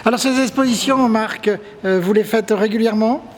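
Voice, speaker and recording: High-voiced interviewer in a reverberant hall. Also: male voice, moderate pace, slight background noise. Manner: formal, leading questions. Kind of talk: interview guiding an exhibition visit. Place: tourist office exhibition hall. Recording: reverberant hall with faint ambience.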